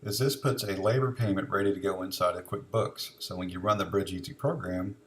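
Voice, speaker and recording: A man giving an informal, unscripted step-by-step software demonstration, explaining each step as he goes. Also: low voice, steady tone, a little fast, slight background noise.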